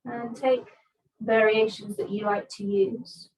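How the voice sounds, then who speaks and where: A woman teaching restorative yoga, giving calm, soothing guidance with long pauses between phrases. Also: a little high, rough recording. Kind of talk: guided restorative yoga instruction.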